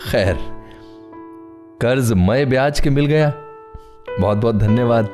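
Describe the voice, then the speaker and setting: An actor playing a courteous, wry recluse in a Hindi radio play, speaking in a measured, formal, gently ironic way. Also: male voice, mid-range voice, faint music behind, natural voice. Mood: wry, teasing. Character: courteous, wry, reclusive man living in a forest hut.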